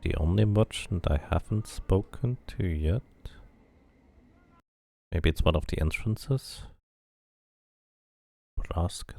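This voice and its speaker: A man's voice: low voice, slow pace, gravelly, long pauses, dark tone. A man giving casual game playthrough commentary, reading the narration aloud and musing to himself as he plays. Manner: muses aloud while playing. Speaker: game streamer.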